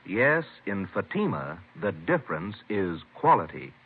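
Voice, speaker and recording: A man's voice: sits low, very animated, measured pace, very noisy. A man announcing a 1950s radio advertisement in an emphatic salesman's manner. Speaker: commercial announcer. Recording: narrow old radio broadcast recording.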